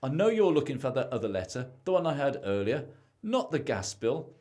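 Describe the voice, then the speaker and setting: A man's voice: medium pitch, very animated, fairly quick, darkish tone, natural voice. A young British man playing a secretive, stressed husband in debt in an amateur radio play. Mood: gloomy confession. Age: young adult.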